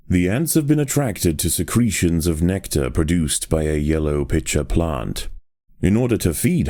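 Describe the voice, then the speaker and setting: A man reading scripted nature documentary narration for a video game in a measured, deliberate, grave storytelling manner. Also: rumbling low, mellow.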